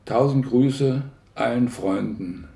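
Slow, gravelly older man. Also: mid-range voice, warm tone, roomy sound. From Berlin, Germany. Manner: halting, reflective, broken phrases. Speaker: contemporary witness recounting family history. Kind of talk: personal spoken recollection.

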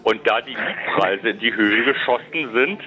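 A man's voice: on the low side, moderate pace, bright, forceful tone. A man playing Frank, a grumbling West Berliner with a Berlin accent who talks in a rambling, complaining way. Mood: indignant. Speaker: studio guest expert. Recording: dull lo-fi radio sound.

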